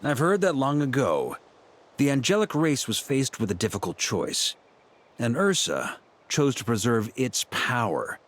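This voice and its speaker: A man's voice: mid-range voice, sing-song, measured pace, fairly bright tone. An actor playing a dutiful, composed male knight commander in a video game, speaking formally and earnestly. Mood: grave.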